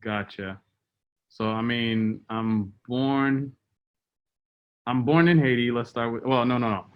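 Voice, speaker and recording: A man's voice: medium pitch, slow, clear, resonant tone. A young man, a business co-owner answering interview questions casually with plenty of ums. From Boston, US. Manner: relaxed, hesitant, many ums. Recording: dull lo-fi sound.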